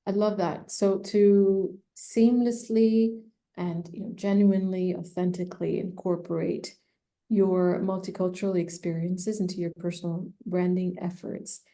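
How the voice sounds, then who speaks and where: Low, gravelly-voiced woman giving advice. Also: little pitch movement, warm tone. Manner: measured, many fillers, thoughtful. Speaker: personal branding specialist, guest. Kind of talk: conversational expert advice.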